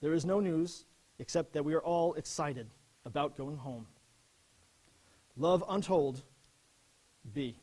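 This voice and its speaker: An actor playing a young, earnest, grieving American army officer, reading his wartime letters home in a measured, solemn way. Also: fairly high pitch, a little mellow. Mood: affectionate, restrained.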